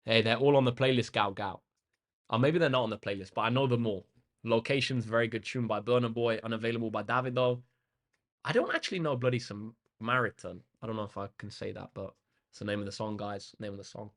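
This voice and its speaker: A young English man hosting a maths livestream, chatting casually with viewers in a relaxed way and using lots of ums and uhs. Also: fairly low pitch, fairly quick. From England. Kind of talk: casual chat answering live comments. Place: indoor room facing a big window.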